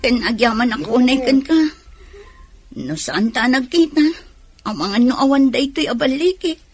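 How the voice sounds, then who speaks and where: A woman plays a young sweetheart in a radio drama. Her voice is somewhat high and her delivery is sincere. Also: lively intonation, normal speed, faint music behind. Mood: warm, bantering.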